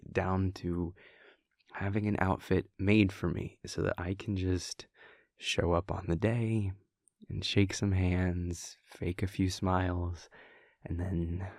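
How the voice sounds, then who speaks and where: Very slow, gravelly male actor as young vampire prince boyfriend, wry, mischievous, hushed, halting, intimate audio roleplay, close dry mic. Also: low voice, no echo, heavily acted. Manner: halting, hushed, amused, trailing off. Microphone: close, dry studio microphone.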